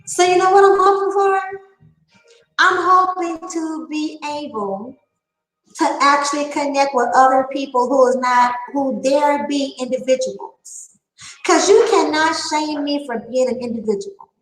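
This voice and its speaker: A woman's voice: high-pitched, unhurried, a bit bright, reverberant, soft background music, poor audio. A woman nearing fifty giving a candid opinion monologue, emphatic and repeating her phrases for effect. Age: late 40s. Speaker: online panel talker.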